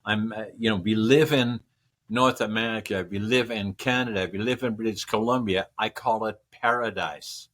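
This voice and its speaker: A man's voice: on the low side, fairly even intonation, normal speed, a bit bright. A man, a podcast guest giving long, rambling answers that repeat words and run through lists. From Northern Netherlands. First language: Dutch. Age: 80s. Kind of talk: casual interview answers.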